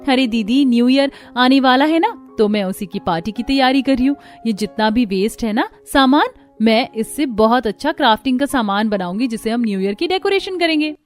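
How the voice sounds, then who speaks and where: A woman's voice: fairly high pitch, animated, brisk, hardly any pauses, natural voice. A woman voicing a caring young housewife daughter-in-law in a cartoon story, speaking politely and earnestly, eager to explain herself. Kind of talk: Hindi cartoon story dialogue. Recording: music behind the voice.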